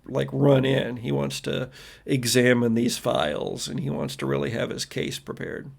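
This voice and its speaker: A man with a gravelly voice narrating a tabletop role-playing game as game master, in an informal, halting, conversational way. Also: medium pitch. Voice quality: gravelly, creaky.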